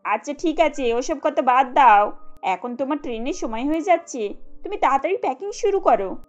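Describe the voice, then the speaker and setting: A woman plays a middle-aged cartoon mother-in-law with a high voice. She is caring, protective and gently insistent. The sound is a little dull, with music underneath. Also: lively intonation, normal speed. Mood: encouraging.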